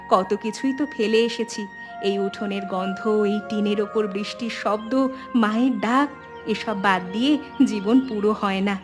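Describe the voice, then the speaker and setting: A woman playing a warm, remorseful grown-up elder sister in an animated family drama. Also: medium pitch, normal speed, music in the background, lightly acted. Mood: nostalgic, resolved.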